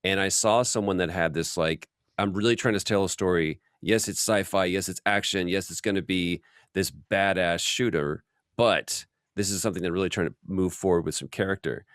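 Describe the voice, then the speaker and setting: A man hosting a casual, chatty workshop interview, telling stories in an informal and animated way. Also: deep voice, fairly quick, no room sound, studio quality. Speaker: host.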